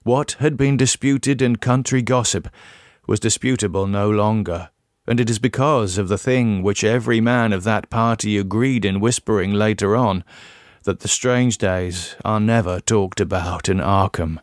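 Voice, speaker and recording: Male audiobook narrator, fairly low voice, measured and dramatic horror reading, dry sound. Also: no room sound. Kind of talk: scripted narration of a horror tale.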